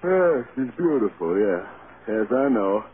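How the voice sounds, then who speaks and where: A weary, half-asleep film producer in an old radio drama. He drags his words and his pitch swings widely as he mumbles in exasperation. The sound is thin, like an antique broadcast. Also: big pitch swings, unhurried, narrow, tinny audio. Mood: weary, exasperated. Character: groggy, weary film producer woken at night. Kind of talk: 1930s-40s radio drama acting.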